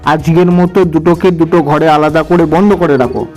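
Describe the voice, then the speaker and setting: Man playing a high-voiced, commanding village headman. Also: little pitch movement, darkish tone, lightly acted. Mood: stern, deliberate. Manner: commanding, measured, formal. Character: authoritative male village headman judging a dispute. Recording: music under the voice.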